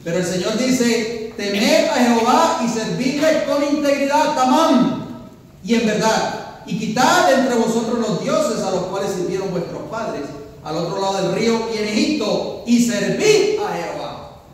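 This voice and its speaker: A man's voice: sits high, animated, a little slow, a little hiss or hum. A man preaching a sermon as pastor, building to emphatic peaks and asking the congregation questions. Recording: echoey hall, voice from far off.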